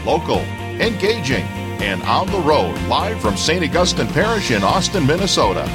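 A man announces a radio promo with lively, swinging intonation. Loud music plays behind him. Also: a little high, normal speed. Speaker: station announcer. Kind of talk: radio promo jingle read.

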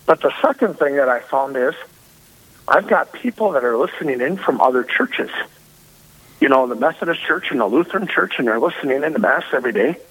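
A man, a parish priest, speaking loudly and energetically as a guest on a radio interview. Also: medium pitch, a little fast, breathy edge, rough recording. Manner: loud, energetic and emphatic.

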